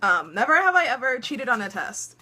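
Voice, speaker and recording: Teen girl vlogger, bright voice, chatty and giggly, lots of ums. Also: fairly high pitch, very animated, brisk, a little hiss or hum. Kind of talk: casual storytelling chat.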